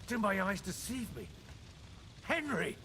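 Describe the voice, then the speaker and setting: Animated, solemn medieval nobleman. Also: high voice, a little slow, background noise. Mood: amazed, glad. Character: sober, cautious medieval nobleman, loyal and grave. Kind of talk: dramatic video game dialogue.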